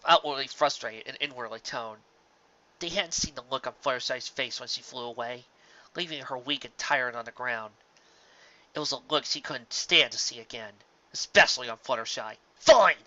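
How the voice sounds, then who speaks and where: A man narrating scripted fiction in a dramatic, emphatic storytelling manner that moves between loud and hushed phrases. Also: mid-range voice, normal speed, lo-fi sound.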